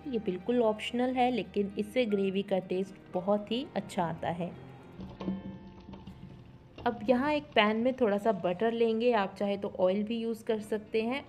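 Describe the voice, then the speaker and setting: Lady doing recipe voiceover, step by step, mid pitch, bit of music behind. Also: darkish tone. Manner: step-by-step instructional, mixing in English words. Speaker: cooking show host. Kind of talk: recipe tutorial voice-over.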